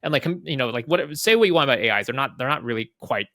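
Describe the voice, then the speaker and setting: A man speaking as an interview guest, explaining casually in halting phrases with repeated words and frequent checking tags. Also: mid-range voice, expressive, fairly bright tone, no room sound, clean studio sound. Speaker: interview guest, head of product at a software company.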